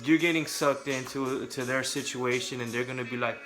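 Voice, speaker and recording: A man's voice: mid-range voice, steady tone, moderate pace, fairly bright tone, faint music behind. A young adult man from California, talking casually and candidly to camera with joking asides. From Southern California. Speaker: vlogger.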